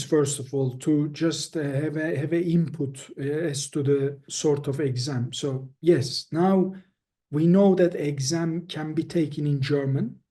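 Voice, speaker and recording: A man's voice: on the high side, dark tone. A man answering questions as an expert guest in a webinar, explaining carefully and hesitantly, often restarting phrases, heard over a video call.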